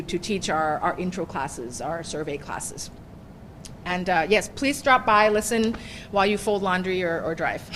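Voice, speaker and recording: A woman's voice: mid-range voice, a little hiss or hum. A middle-aged woman professor giving a conversational workshop presentation, often checking in with "right?". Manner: chatty, often ends points with "right?". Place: workshop room.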